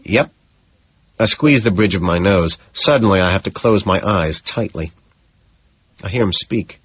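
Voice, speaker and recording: A man's voice: low-pitched, moderate pace, husky, no room sound, lo-fi sound. A man reading scripted first-person audiobook narration.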